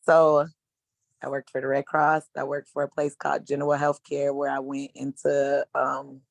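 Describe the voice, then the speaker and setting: A man in his thirties giving a frank, casual personal testimony as a panelist, with slangy speech and hesitant pauses. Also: medium pitch, completely flat delivery, vocal fry, no room sound. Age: 30s.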